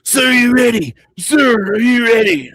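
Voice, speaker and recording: A man imitating a raspy, loud older male fight announcer who asks if the fighters are ready. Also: extremely high pitch, expressive, moderate pace, no echo, pitched above the performer's own voice, exaggerated voice. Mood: booming.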